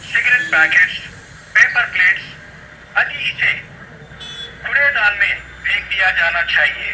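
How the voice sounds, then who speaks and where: A man reading a scripted public service announcement in a formal, instructive manner, played over a street loudspeaker. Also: high-pitched. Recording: noisy street loudspeaker playback, telephone-like.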